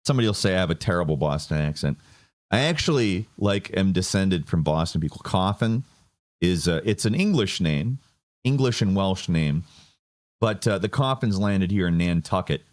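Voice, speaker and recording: A man's voice: sits low, expressive, normal speed. A man giving casual political commentary, sardonic and rambling. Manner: sardonic, rambling with fillers and restarts.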